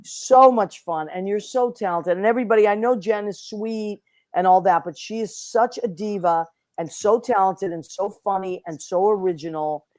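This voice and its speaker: A chatty woman hosting a casual talk show, effusive and warm toward her guest and viewers, whose first language was Italian. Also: mid-range voice, normal speed, dry recording. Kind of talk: casual chat.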